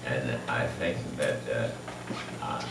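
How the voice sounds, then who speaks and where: A hundred-year-old man from Egypt, reminiscing unscripted to an audience in a halting way, searching for his words. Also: extremely low pitch, creaky. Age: 100. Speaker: honoree at a birthday tribute. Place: large echoey room with an audience. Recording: echoey room, distant mic, background noise.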